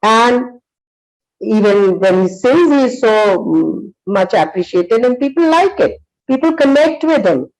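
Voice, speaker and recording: A woman's voice: a little high, animated, darkish tone, poor audio. Earnest Indian woman in her sixties. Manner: earnest, storytelling, frequent pauses and uh fillers. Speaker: psychologist and motivational speaker. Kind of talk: motivational talk.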